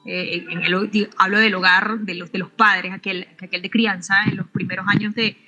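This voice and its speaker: A middle-aged woman from Venezuela, a physicist answering interview questions in a halting way, often restarting and adding tag questions. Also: mid-range voice, little pitch movement, bright, forceful tone, faint music behind, low-quality recording. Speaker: physicist and researcher, interview guest.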